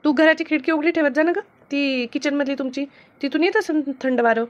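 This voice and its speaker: A woman's voice: high-pitched, normal speed, lightly acted. A woman voicing a friendly, sensible village woman giving advice in a cartoon comedy, with a rural Maharashtrian accent, on a dull recording. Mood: practical. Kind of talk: animated cartoon comedy dialogue.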